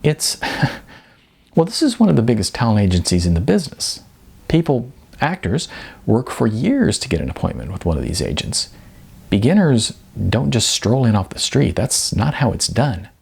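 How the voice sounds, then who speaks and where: A man voicing a young woman receptionist in an audiobook, brisk and dismissive, explaining things with slight condescension. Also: mid-range voice, big pitch swings, gravelly, a little mellow, husky, heavily acted. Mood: polite, dismissive. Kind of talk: audiobook character dialogue.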